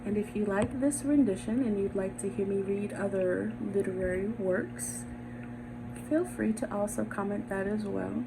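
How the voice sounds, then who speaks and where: A woman with measured, deliberate phrasing, speaking as a story reader and channel host. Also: mid-range voice, creaky. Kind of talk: dramatic literary reading and soft-spoken channel talk. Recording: noisy home recording, audible background noise.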